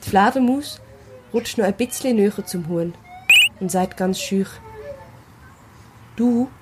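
A woman's voice: medium pitch, normal speed. A woman with a Swiss German accent narrating a children's story. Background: loud music.

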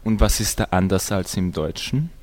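A man's voice: low-pitched, moderate pace. A teenage boy, a school student, explaining languages in conversation with a classmate on a radio show. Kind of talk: presenting language comparisons with a classmate.

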